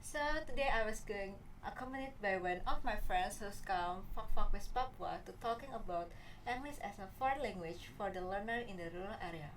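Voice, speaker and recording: A woman's voice: medium pitch, a little fast, few pauses, far from the mic, a little hiss or hum, low-quality recording. A young woman hosting a casual interview podcast in English, asking questions and hesitating as she searches for words. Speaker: podcast host.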